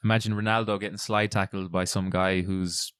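A man's voice: sits low, level tone, fairly quick, fairly bright tone, clean tone, no room sound. A man speaking conversationally as an interviewer who chats casually with his guest. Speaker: interviewer and jiu-jitsu competitor.

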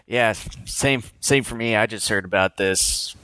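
Slow, halting male podcast co-host. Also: fairly low pitch, bright, forceful tone, smooth, dry recording. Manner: slow, halting, many uh fillers. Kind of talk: casual chat.

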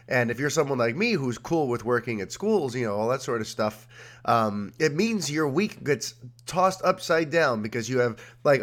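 A man hosting a podcast in a casual, rambling monologue, his voice nasal and congested from a cold. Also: mid-range voice. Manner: chatty, rambling, energetic. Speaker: podcast host.

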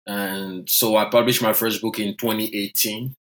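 A man's voice: fairly low pitch, flat delivery, moderate pace. A man in his thirties from Côte d'Ivoire, a native French speaker, telling his own story as a podcast guest in a reflective, self-deprecating way. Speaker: guest economist. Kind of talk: podcast interview.

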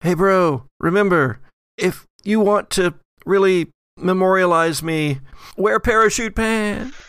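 A man's voice: high-pitched, slow, a bit bright, clean tone, dry recording, clean studio sound, voice pushed up. A man voicing a laid-back, casual spirit in a tabletop horror game. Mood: chummy farewell.

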